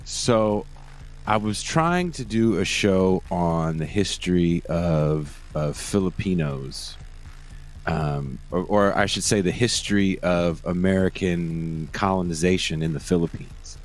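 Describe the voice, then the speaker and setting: A man's voice: sits low, slow pace. A man hosting a podcast, casual and laid-back, laughing often and swearing freely, from Oakland. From Oakland, California. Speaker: podcast host. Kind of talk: casual interview chat.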